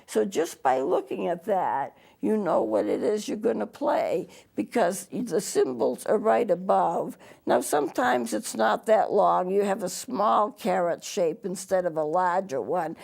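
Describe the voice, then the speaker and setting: A woman music teacher with a throaty, worn voice, gravelly with heavy fry. She talks at an unhurried, slow pace, patiently spelling out terms letter by letter in a small TV studio. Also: medium pitch, expressive, a little mellow. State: losing her voice, throaty. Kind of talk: televised music lesson.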